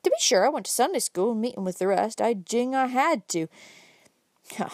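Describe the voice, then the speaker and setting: A woman's voice: on the high side, animated, brisk, darkish tone, dry recording, studio quality. A woman voicing a talkative, folksy old countryman with a rustic drawl, reading an audiobook. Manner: chatty, homespun, emphatic.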